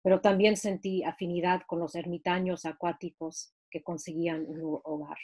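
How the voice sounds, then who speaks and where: A woman's voice: lowish voice, slightly rough. A woman reading a passage of her own prose aloud at home over a video call. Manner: steady, measured reading. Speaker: writer reading from her book.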